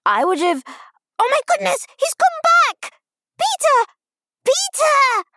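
A woman voicing an excitable, indignant five-year-old girl in an audiobook, with emphatic childish babble. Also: sits high, measured pace, a little hiss or hum, pitched above the performer's own voice, heavily acted. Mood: indignant, excited. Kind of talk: audiobook character voice.

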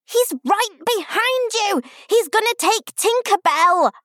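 A woman voicing an excitable, indignant five-year-old girl in an audiobook, with emphatic childish babble. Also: sits high, unhurried, no echo, studio quality, voice pushed up, heavily acted. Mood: indignant, shrieking. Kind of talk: audiobook character voice.

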